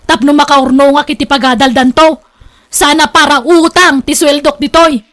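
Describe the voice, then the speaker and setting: Woman acting as firm migrant-worker wife, very high voice, northern Philippine accent, blunt and scolding, radio drama. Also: steady tone, moderate pace, a bit bright. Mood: angry, scolding. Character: firm, sharp-tongued working wife and mother abroad.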